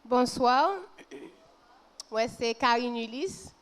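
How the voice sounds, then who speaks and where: A woman's voice: fairly high pitch, drawn-out words, clear, resonant tone. A woman in her thirties from Port-au-Prince introducing herself on a panel earnestly, dropping in English phrases. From Port-au-Prince, Haiti. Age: 30s.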